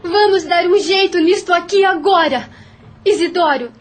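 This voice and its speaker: A woman's voice: extremely high pitch, moderate pace. A woman acting a young, compassionate and determined plantation mistress in an audio drama, earnest and commanding. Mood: resolute.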